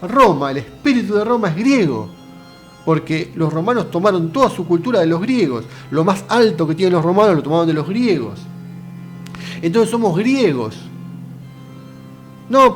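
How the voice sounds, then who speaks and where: An Argentine man with a somewhat raised voice explains philosophy in a chatty, direct way. He keeps asking the viewer rhetorical questions. Soft music plays faintly underneath. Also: high voice, expressive, moderate pace. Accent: Argentine Spanish. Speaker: philosophy lecturer. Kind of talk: casual lecture.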